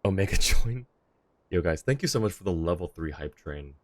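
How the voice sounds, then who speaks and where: Deep-voiced streamer chatting over gameplay. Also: male voice, lively intonation, normal speed, no echo. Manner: casual, chatty, reacting to the game. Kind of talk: live gameplay commentary.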